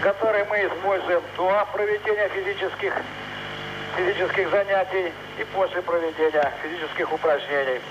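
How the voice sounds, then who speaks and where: Cosmonaut on a noisy muffled link. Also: male voice, sits high, fairly even intonation. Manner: halting, searching for words. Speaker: cosmonaut on space station. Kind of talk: live answers from orbit. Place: space station cabin. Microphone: headset.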